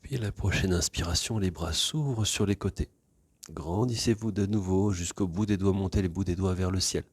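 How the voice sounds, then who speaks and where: A man teaches yoga in a low, slightly breathy and husky voice. He guides posture and breath gently and without hurry. The sound is close and dry. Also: no echo. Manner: calm, unhurried, gently instructing. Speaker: yoga teacher leading a class.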